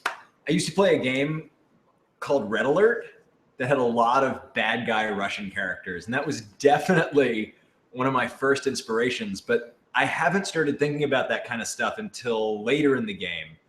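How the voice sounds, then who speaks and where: A man chats casually as co-host of a live Q&A, his medium-pitched voice echoing in the room. He comes across as eager and self-effacing. His answers wander as he goes. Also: expressive, normal speed, a bit bright. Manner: enthusiastic, rambling, self-effacing. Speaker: co-host and voice actor. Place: indoor room, on a couch. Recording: echoey, roomy sound.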